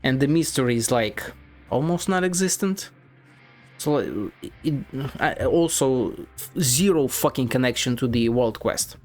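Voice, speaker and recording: A man streaming a game and giving casual, critical commentary, blunt and sweary, in halting, repetitive bursts. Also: mid-range voice, darkish tone. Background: game music.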